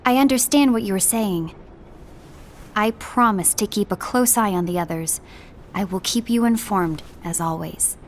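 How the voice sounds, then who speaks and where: An actress playing a composed, diplomatic young woman in a fantasy game, speaking formally and with measured politeness. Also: mid-range voice, slight background noise, natural voice. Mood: calm reassurance. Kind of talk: scripted game cinematic acting.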